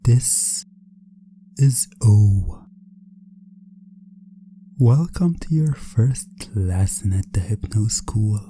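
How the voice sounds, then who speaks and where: A man speaking softly in a breathy, often whispered ASMR roleplay as a calm, controlling hypnotist teacher, deliberate and repetitive. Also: fairly low pitch, dry recording. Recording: music bed under the voice. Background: music.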